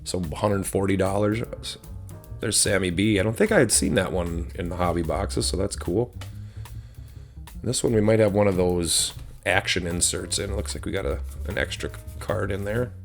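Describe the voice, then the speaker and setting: A man with a slightly hoarse voice casually chatting as he opens card packs on his channel, relaxed and rambling with frequent ums. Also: deep voice, normal speed, soft background music. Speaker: host of a card-opening channel. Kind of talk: casual chat while opening card packs.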